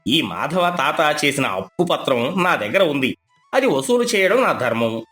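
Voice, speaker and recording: A man's voice: on the high side, sing-song, unhurried, fairly bright tone, faint music behind. A man voicing a scheming, falsely pious village moneylender in a pompous, theatrical way for a moral story. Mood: pompous, falsely kind.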